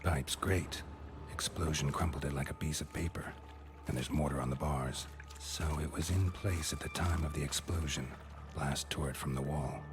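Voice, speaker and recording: Super deep stoic monster hunter, terse deadpan game hero, music underneath. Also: male voice, normal speed. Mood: pensive. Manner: terse, deadpan, muttering to himself. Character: stoic, world-weary male monster hunter, dryly observant. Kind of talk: dramatic video game dialogue. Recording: background music behind the voice.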